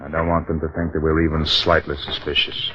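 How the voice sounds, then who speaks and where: An actor playing a determined, suspicious police detective in old-time radio drama, speaking in a clipped, commanding way. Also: male voice, extremely low pitch, little pitch movement, a little fast, highs cut off, background noise. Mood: wary, intent.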